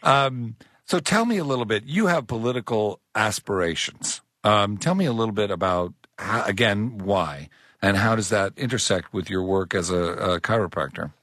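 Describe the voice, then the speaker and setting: A man hosts a radio talk show from the studio, his voice sitting fairly low and sounding close and dry. He talks in an earnest, conversational way, with the odd filler as he goes. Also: expressive, normal speed, fairly bright tone, no room sound.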